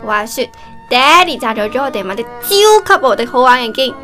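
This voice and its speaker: Woman as young daughter, very high voice, Hong Kong accent, bubbly and bossy, comic radio play, prominent music behind. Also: fairly quick, heavily acted. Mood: playful presenting. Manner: excitable, exclamatory. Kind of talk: comic audio drama acting.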